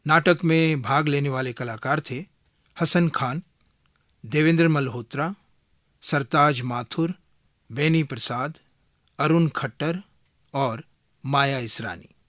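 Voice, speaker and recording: A man working as a radio announcer, reading a formal scripted announcement in a measured, deliberate manner. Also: a little high, fairly bright tone, no room sound, studio quality.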